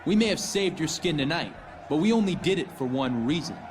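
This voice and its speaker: A man's voice: fairly high pitch, lively intonation, a little fast, lightly acted. A man voicing a cocky young wrestler in a game cutscene, menacing and boastful. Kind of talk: video game cutscene dialogue. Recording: game audio with arena crowd and hiss.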